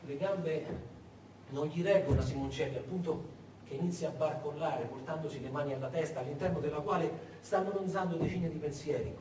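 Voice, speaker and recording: A man performing a dramatic theatrical monologue, emphatic and deliberate, repeating words and leaving heavy pauses. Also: fairly high pitch. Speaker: solo stage storyteller. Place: echoey performance space, far from the mic. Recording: echoey, noisy, distant stage recording.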